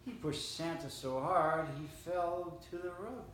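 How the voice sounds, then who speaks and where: A man reading a rhyming holiday poem aloud in a steady, measured way. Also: on the high side, a little hiss or hum. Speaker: storyteller reading a Christmas poem. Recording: roomy, distant mic, faint ambience.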